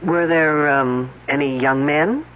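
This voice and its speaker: A man's voice: fairly high pitch, slow. An actor playing a dry, sarcastic male detective on a radio drama. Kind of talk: 1970s radio drama acting. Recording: tinny old radio broadcast.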